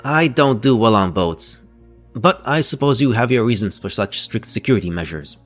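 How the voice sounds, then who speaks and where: Guy playing polite keen physicist in an audio drama, formal but really animated, bit of music under. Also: medium pitch, moderate pace, close to an everyday voice. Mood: polite, eager. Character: eager, courteous male physics scientist newly arrived. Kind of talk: scripted audio drama dialogue.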